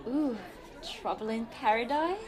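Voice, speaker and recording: A woman's voice: a little high, expressive, a little slow, a crowd in the background, low-quality recording. A woman playing a cheery, pushy tea shop owner in an audio play, coaxing customers in a chatty, familiar way. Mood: cheery, insistent.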